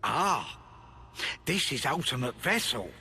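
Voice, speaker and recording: A man's voice: medium pitch, sing-song, slow, sharp tone, slightly rough, full character voice. A man voicing a small, eager creature engineer in a game, proud and cheerful, speaking in boastful broken third-person sentences. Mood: boastful, dreamy.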